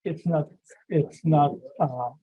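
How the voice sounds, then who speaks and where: A man with a low voice speaking hesitantly as a resident at a town meeting, with many pauses and restarts. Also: slightly rough, highs cut off. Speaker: resident speaking at public comment. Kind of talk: public comment at a town meeting.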